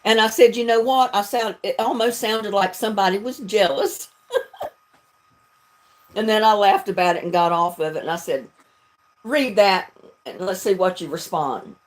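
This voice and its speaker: A woman's voice: medium pitch, moderate pace, pauses often. A woman from North Carolina with a Southern accent, chatting casually and folksily as she hosts a livestream. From North Carolina, United States. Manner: folksy, chatty, laughs often. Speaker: video creator hosting a livestream.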